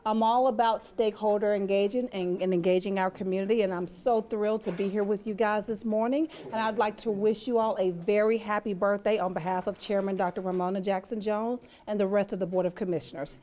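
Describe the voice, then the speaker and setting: A woman from county government gives a short, upbeat greeting at a community event. Her voice is mellow and sits at a middle pitch. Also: fairly even intonation, fairly quick, few pauses. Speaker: county grant administrator. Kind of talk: brief public greeting.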